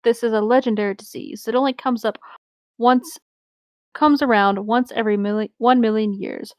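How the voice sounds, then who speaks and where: A woman's voice: on the high side, fairly even intonation, moderate pace, dry recording. A woman co-hosting a casual comedic read-along, moving between reading a story aloud and chatting. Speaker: co-host reading fan fiction aloud.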